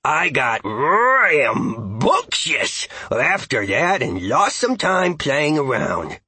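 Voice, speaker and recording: A man voicing an excitable, playful male dog who narrates a story in a chatty, goofy, raspy voice. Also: on the high side, no room sound, heavily acted. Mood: excited, playful. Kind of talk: first-person audiobook narration.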